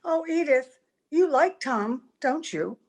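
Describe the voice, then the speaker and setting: Woman playing high-voiced romantic young bride. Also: lively intonation, slow, darkish tone, full character voice. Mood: affectionate, concerned. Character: romantic, breathlessly happy young bride, naive and anxious. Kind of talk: old-time radio drama acting.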